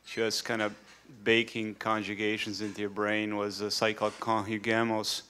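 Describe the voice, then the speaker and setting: Flat-voiced man asking from the floor. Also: fairly low pitch, moderate pace, a little hiss or hum. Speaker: audience member. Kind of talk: question from the floor. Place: large lecture hall.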